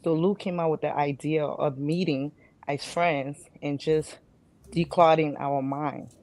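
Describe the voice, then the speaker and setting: Middle-aged woman, medium-low talking voice. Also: fairly even intonation, unhurried, a little mellow, no echo. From Liberia. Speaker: guest, reality show cast member and nurse. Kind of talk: conversational interview answers.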